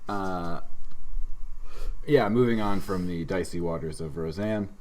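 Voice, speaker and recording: Deep-voiced man bantering on a podcast. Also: unhurried, a little mellow, rough recording. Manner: dry, sarcastic, joking. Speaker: podcast host. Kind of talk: casual comedy podcast banter.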